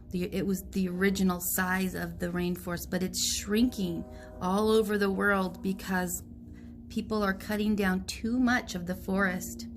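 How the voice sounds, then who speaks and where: A woman teacher reading a storybook aloud to young children in a deliberate, direct way. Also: medium pitch, a little hiss or hum.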